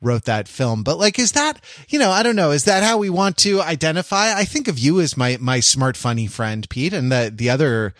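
A man's voice: a little high, sing-song, a bit bright, dry recording, clean studio sound. Rambling, teasing man with a lightly raised voice. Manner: rambling, halting, self-interrupting; jokey, teasing. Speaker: podcast co-host. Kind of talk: casual chat.

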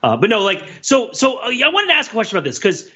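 A man with a fairly high, sharp voice and a raspy edge chats casually about film. His delivery is animated. The recording is slightly dull. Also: quick pace. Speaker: podcast panelist.

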